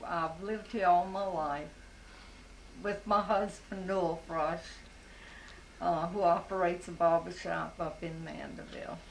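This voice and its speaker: A woman's voice: fairly low pitch, fairly even intonation, unhurried, vocal fry, slight background noise, low-quality recording. A woman, a little bashful and laughing as she introduces herself. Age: 70s. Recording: crowd chatter behind.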